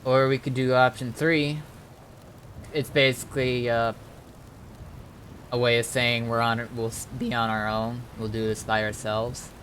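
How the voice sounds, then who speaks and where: A man talking casually on a game stream, thinking things through aloud in a halting, deliberating way. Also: mid-range voice, flat delivery, a bit bright, a little hiss or hum. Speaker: streamer and roleplay gamer.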